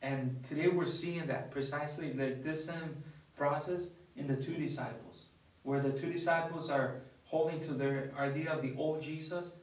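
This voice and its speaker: A young man, a priest, talking casually about scripture at a table, with many restarts and an animated way of telling stories. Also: on the high side, moderate pace. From not from the US. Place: dining room at a table with others. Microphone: far camera. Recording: echoey room from far off.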